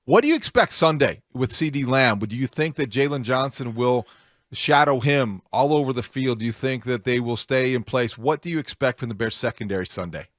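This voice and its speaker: A man's voice: mid-range voice, expressive, brisk, a bit bright, no room sound, studio quality. A man hosting a sports radio show, laying out analysis and putting questions to a guest. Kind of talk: interview questioning with game analysis.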